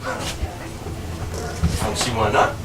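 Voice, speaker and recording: A man's voice: drawn-out words, roomy sound. A man on stage playing a prickly, suspicious stickler in clipped, questioning banter, recorded from a distance in a noisy room. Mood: needling, defensive. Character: prickly, suspicious, rule-bound man in a shady partnership. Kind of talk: live stage play, clipped absurdist banter. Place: small live venue in a bar, far microphone.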